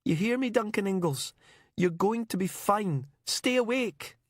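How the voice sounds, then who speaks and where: A man voicing a young woman held captive in the dark, pleading and sobbing. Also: very high voice, a little slow, no echo, studio quality, voice pushed up. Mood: desperate.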